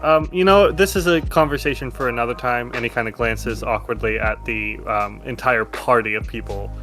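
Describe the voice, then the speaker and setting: A man's voice: medium pitch, normal speed, a bit bright, soft background music. A man narrating a tabletop game as game master in a casual, improvised, conversational way.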